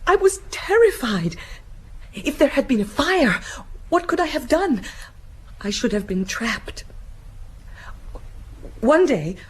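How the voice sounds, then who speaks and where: Slow, fearful fragile young woman. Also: high-pitched, sing-song, heavily acted. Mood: anguished, confiding. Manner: hesitant, breathy, dramatic. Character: frightened, fragile young foreign gentlewoman, melancholy, secretive. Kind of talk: radio drama acting.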